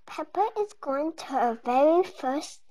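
A woman reading a children's picture book aloud, with deliberate, word-by-word phrasing. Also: high-pitched, lively intonation, warm tone. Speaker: storybook reader. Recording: dull lo-fi home recording.

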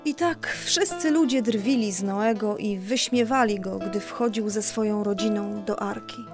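A woman's voice: medium pitch, unhurried. A woman narrating a scripted Bible story for a children's audio drama. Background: music.

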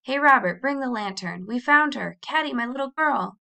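Woman acting a storybook pioneer father, stern, loving, protective, authoritative, forceful, gravelly edge. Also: mid-range voice, expressive, moderate pace. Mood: relieved. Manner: authoritative, snapping, then grave.

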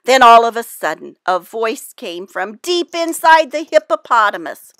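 A woman reading a children's picture book aloud, with expressive emphasis and dramatic pauses. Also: on the high side, dry recording, clean studio sound. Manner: deliberate, dramatic pauses, animated emphasis for young listeners.

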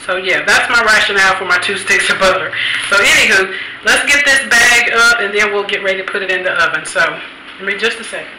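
A woman's voice: mid-range voice, quick pace, echoey room, very noisy. A woman vlogger talking casually to camera while she cooks at home, chatty and thinking aloud, with frequent little laughs. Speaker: cooking vlogger. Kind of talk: casual cooking chat to camera. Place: home kitchen, indoors.

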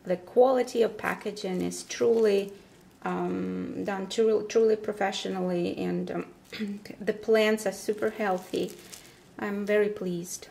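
A woman talks casually through an unboxing in a markedly slow, halting way. Her voice has a lot of fry, and she pauses between short phrases. She is recorded indoors at a table, with slight noise and paper crinkling behind her. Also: medium pitch, steady tone, mellow. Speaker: vlogger presenting her plant unboxing. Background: crumpling paper packaging.

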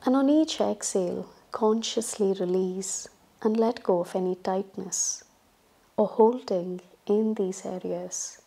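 Slow, mellow woman guiding meditation. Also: medium pitch, gravelly, breathy edge. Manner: calm, soothing, instructional, with long pauses. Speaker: yoga instructor. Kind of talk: guided relaxation meditation.

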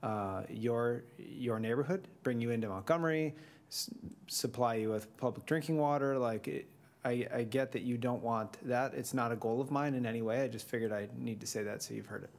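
A man's voice: medium pitch, brisk, a little mellow. A man chairing a village board public hearing in a large council chamber, talking casually with some restarts.

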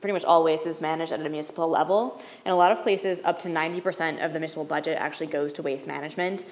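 A woman answers a question on a panel in a lecture hall. Her voice sits very low for a woman. She talks in a measured way, often checking in with "right?". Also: fairly even intonation, a little hiss or hum.

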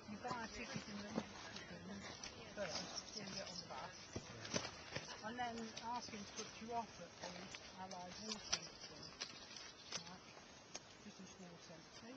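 A woman leading a walking group outdoors, giving brisk, reassuring directions and information. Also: mid-range voice. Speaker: hike leader. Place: outdoors on a rural hillside trail. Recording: dull outdoor camcorder audio, loud noise.

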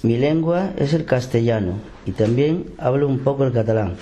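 Man from Seville, mellow dark voice, steady student read. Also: medium pitch, normal speed, slight background noise. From province of Seville. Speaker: Catalan course student. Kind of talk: reading a short self-introduction.

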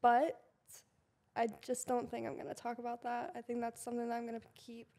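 A young woman guest on a casual podcast, speaking guardedly into a handheld mic in a bright living room and filling her answers with "I mean" and "like.". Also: fairly high pitch, completely flat delivery, brisk. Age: young adult. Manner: guarded, soft-spoken, with frequent "I mean" and "like". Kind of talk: casual interview chat. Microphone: handheld mic with headphones.

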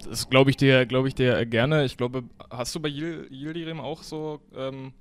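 Man, podcast host, average pitch, colloquial, relaxed interview chat. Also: moderate pace, clean tone. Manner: informal, colloquial German.

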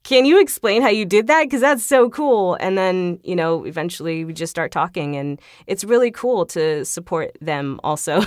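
A woman's voice: on the low side, expressive, normal speed, hardly any pauses, dry recording, studio quality. A woman speaking casually as an interview guest, chatty and earnest, often with a chuckle in her talk. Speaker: podcast guest, voice actor.

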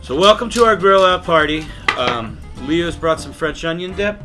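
A man's voice: a little high, animated, normal speed, over music. A man in his forties chatting casually and playfully as he hosts a toy review, joking and rambling. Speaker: father hosting a toy review show. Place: indoor room full of toys.

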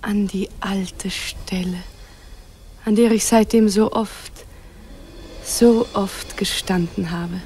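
An actress playing an immortal sea nymph, stately and lovelorn, solemn with hushed phrases, in a radio play. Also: female voice, medium pitch, steady tone, takes it slowly, pauses often, a little hiss or hum. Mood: wistful longing. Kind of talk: German radio play acting.

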